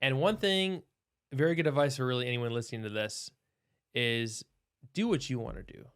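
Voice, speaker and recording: A man's voice: medium pitch, normal speed, fairly bright tone, clean tone. A man in his thirties with a mid-range voice talks over his career as a doctor. He is thoughtful and loose, circling back, restarting phrases and hedging as he goes. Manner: thoughtful, many restarts and hedges. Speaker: osteopathic doctor, podcast guest. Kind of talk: casual interview talk.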